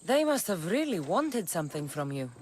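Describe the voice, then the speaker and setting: An actress playing a tough ancient Greek mercenary in a video game, with a dry, sardonic manner. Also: female voice, on the low side, very animated, moderate pace, a little hiss or hum. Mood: firm, dry. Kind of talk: video game cutscene acting.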